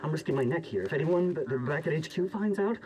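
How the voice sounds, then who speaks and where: A man playing an anxious government research scientist in a hazmat suit, with halting, stammering speech, in cinematic video game acting. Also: on the high side, lively intonation, natural voice, poor audio. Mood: edgy, secretive.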